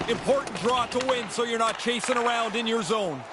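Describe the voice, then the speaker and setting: A man's voice: very high-pitched, brisk, heavy background noise. A man voicing a plain-spoken hockey analyst in a sports video game, explaining plays in a conversational way. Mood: analytical. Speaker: hockey color commentator. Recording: loud music behind the voice. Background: loud music.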